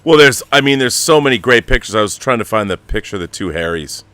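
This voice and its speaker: A man hosting a casual livestream, explaining things in a rambling, animated, slangy way, like a director talking shop. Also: lowish voice, quick pace, no echo. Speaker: show host and audio drama director.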